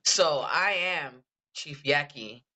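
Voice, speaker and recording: A man born in Ensenada and raised in San Diego, co-hosting a podcast in hyped street slang full of shout-outs. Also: on the high side, expressive, takes it slowly. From Ensenada, Mexico; raised in San Diego. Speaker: podcast co-host. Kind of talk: casual chat. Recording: dull lo-fi sound.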